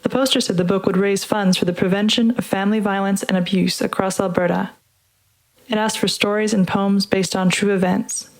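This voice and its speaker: A woman giving a measured, earnest scripted reading as narrator of a community story project. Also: mid-range voice, fairly even intonation, rough recording. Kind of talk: scripted reading over music. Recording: music playing under the voice.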